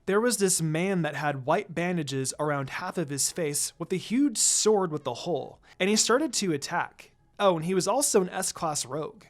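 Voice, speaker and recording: A reader plays a gossipy teenage girl in an adventure story. She is chatty and confiding, with pitch that moves around freely. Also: lively intonation, moderate pace, few pauses, natural voice. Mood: eager, gossiping.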